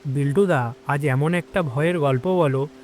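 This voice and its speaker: A man's voice: fairly high pitch, normal speed, darkish tone, slight background noise, natural voice. A man playing a village lad who keenly pleads for scary tales in an audio drama.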